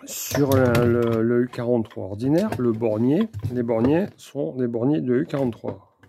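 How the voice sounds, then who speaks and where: A man presenting an unscripted technical demonstration, speaking methodically in short, halting phrases. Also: mid-range voice. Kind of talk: unscripted hands-on explanation. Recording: clear background noise with clicks.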